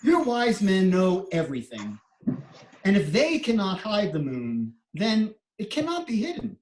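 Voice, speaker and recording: A clever, gentle court jester, played by a woman in a storybook readers theater. The delivery is slow and theatrical, with very lively, swinging pitch. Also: roomy sound, poor audio. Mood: attentive.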